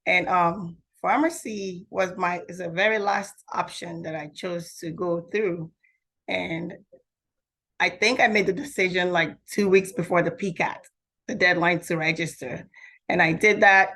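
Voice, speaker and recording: A woman's voice: fairly low pitch, fairly bright tone. A woman answering interview questions as a podcast guest in a reflective, candid, conversational way. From Nigeria.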